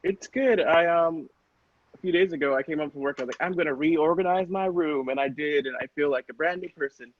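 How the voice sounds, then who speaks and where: Male podcast regular, informal and conversational, higher register, dulled lo-fi audio. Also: brisk. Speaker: podcast cast member. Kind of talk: casual chat. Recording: muffled, dull lo-fi podcast audio.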